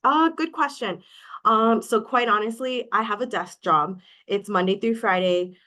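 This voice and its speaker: A woman's voice: mid-range voice, a little slow, pushed and bright. A woman from Hawaii talking as a guest in a casual interview, speaking openly and candidly with many "um"s. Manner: open, candid, with many fillers. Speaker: guest, coaching client.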